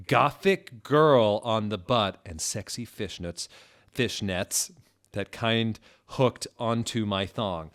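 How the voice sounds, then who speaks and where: Slow, mock-serious man reading aloud. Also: fairly low pitch. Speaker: narrator reading fan fiction aloud. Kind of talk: dramatic comedic reading.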